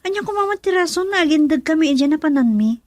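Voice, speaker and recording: A woman's voice: high voice, lively intonation, fast talker. A young woman playing a stubborn, lovestruck daughter in a radio drama. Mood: defensive.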